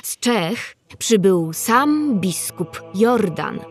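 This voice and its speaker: A woman's voice: medium pitch, expressive, drawn-out words, soft background music. A woman narrating a scripted story for children, explaining things directly to young listeners.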